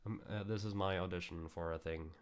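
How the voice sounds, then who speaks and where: A man streaming casually, excitable and scattered, half muttering to himself as he goes. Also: deep voice, brisk, smooth. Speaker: streamer and amateur voice actor. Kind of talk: casual live-stream chat.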